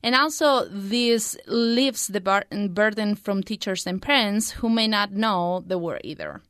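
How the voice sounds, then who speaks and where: Clear young woman, close dry sound. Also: mid-range voice, expressive, a little slow, fairly bright tone, no room sound. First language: Spanish. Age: 20s. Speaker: student book reviewer. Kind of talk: prepared book review.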